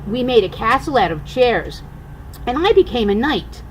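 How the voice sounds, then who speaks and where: Older woman reading a storybook, higher-pitched voice. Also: big pitch swings, moderate pace, a little hiss or hum, poor audio. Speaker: grandmother reading aloud. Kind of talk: children's storybook read-aloud.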